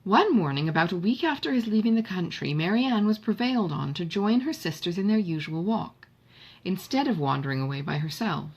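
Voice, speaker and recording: Husky-voiced woman narrating a classic novel. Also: medium pitch, expressive, brisk, a little mellow, lo-fi sound. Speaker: audiobook narrator.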